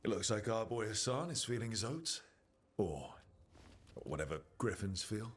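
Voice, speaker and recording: A man playing an easygoing, encouraging adventurer in a video game cutscene, with a raspy voice and a wry, reassuring manner. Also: fairly low pitch, big pitch swings, moderate pace. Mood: light, wry. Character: easygoing, encouraging male adventurer, trusted comrade. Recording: music behind.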